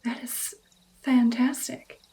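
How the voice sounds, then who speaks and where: A woman plays a female vampire mentor in an intimate ASMR roleplay. The voice is soft, mellow and slightly high, often sinking into a breathy whisper. Each phrase is unhurried, coaxing the pupil along with gentle pride and playful affection. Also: level tone, rough recording. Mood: encouraging, admiring. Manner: slow, coaxing, encouraging, lingering over phrases. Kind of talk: ASMR roleplay, personal attention.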